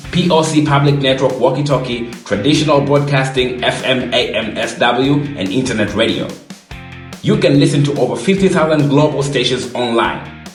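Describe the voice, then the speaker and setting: Roomy-sounding man presenting a product explainer. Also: mid-range voice. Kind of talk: scripted product explainer, question and answer.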